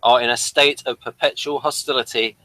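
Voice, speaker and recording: A man's voice: medium pitch, fairly even intonation, fairly quick, bright, forceful tone, no room sound, poor audio. A man hosting a casual, playful chat review of a TV episode. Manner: playful, bantering. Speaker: podcast host.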